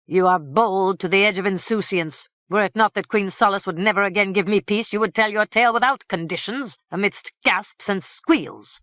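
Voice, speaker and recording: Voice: mid-range voice, moderate pace, few pauses, bright, forceful tone, no room sound, pitched above the performer's own voice, lightly acted. A narrator playing a harsh, menacing king. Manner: cold, threatening, curt. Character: harsh, menacing middle-aged male king.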